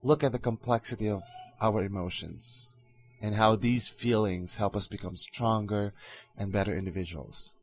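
A man hosting his own podcast, talking calmly and earnestly in a reflective solo monologue with soft fillers. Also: lowish voice, normal speed. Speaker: podcast host.

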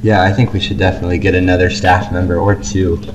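A man's voice: sits low, flat delivery, fast, muffled recording, background noise. A man co-hosting a fan podcast in casual, easygoing conversation. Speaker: podcast co-host.

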